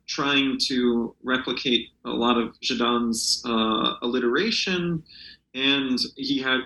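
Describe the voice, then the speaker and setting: A man is being interviewed as a translator and talks without a script. His voice is bright and sits at a middling pitch. He feels his way through sentences hesitantly, and the sound is noticeably roomy. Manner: halting, hesitant, searching for words. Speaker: literary translator, interview guest. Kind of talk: unscripted interview discussion.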